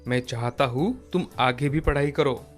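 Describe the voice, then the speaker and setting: A man's voice: mid-range voice, normal speed, soft background music, close to an everyday voice. A man playing a kind, hardworking poor village father, affectionate and encouraging, in a cartoon moral story. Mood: proud, affectionate.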